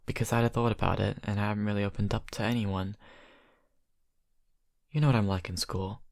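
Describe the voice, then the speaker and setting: A young man acting a shy college vampire who confides haltingly and softly to his best friend in an ASMR roleplay. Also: fairly low pitch, steady tone, gravelly, dry recording, studio quality. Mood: nervous, grateful. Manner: hesitant, halting, soft-spoken confiding. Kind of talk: ASMR roleplay, intimate improvised monologue.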